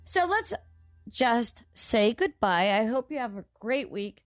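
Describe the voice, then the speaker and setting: Slow-talking woman host over music. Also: on the high side, big pitch swings, dry recording, studio quality. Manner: chatty, digressive. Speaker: host and story narrator. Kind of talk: casual rambling sign-off chat to listeners. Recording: music behind the voice.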